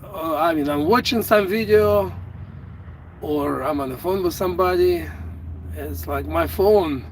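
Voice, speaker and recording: A middle-aged man from Ukraine talks with a Russian accent, his native tongue showing through. He speaks very slowly and haltingly, restarting his sentences, in a somewhat high voice. It sounds like a phone recording from inside a vehicle, with a faint hum behind him. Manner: slow and halting, many fillers and restarts. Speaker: YouTube live streamer. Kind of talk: casual live-stream chat, reading out viewer comments. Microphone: phone without an external microphone.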